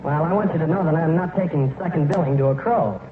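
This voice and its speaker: An actor playing a proud, jealous tavern singer with indignant retorts in a 1940s radio comedy. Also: male voice, a little high, fast talker, natural voice. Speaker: singer on the show. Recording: noisy, tinny 1940s radio recording.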